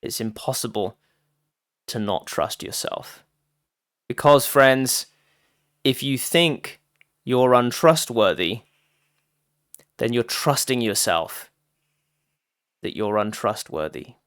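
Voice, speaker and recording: Guy leading a guided meditation, really slow and deliberate w lots of pauses, dry sound. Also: mid-range voice, animated, a bit bright, clear, resonant tone, dry recording. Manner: deliberate phrasing with frequent pauses, addressing listeners as friends. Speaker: meditation teacher.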